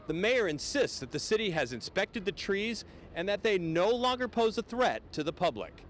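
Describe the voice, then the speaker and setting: A man's voice: sits high, very animated, normal speed, a little hiss or hum. An American man narrating a scripted television news report as a reporter.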